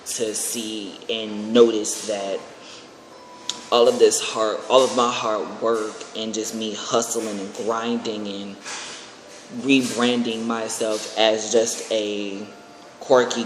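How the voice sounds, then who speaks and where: The recording is very echoey, with faint noise behind it. An American man with a fairly low voice talks informally, as if giving a personal vlog update. He rambles, repeating and restarting phrases as he goes. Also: little pitch movement, takes it slowly. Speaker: aspiring singer and video creator. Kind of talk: casual personal vlog announcement.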